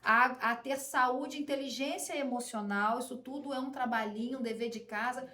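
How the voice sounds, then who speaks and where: Woman guest, faith-filled parenting advice, emphatic, slightly high voice, echoey room. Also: steady tone, normal speed, pushed and bright. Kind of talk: conversational advice.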